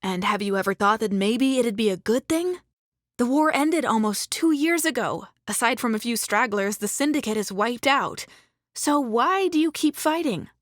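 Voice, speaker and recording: A woman's voice: fairly high pitch, animated, moderate pace, no room sound, clean studio sound, lightly acted. A woman playing a defiant, sardonic criminal and deserter in a dramatized audiobook. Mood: challenging. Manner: challenging, sardonic.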